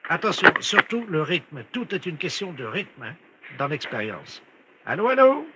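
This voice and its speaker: A man's voice: on the high side, very animated, moderate pace, lo-fi sound, very noisy. A man voicing an officious, absurd scientist, methodically giving instructions and insistent questions on a prank call. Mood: instructing. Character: officious, absurd scientist directing a phone experiment.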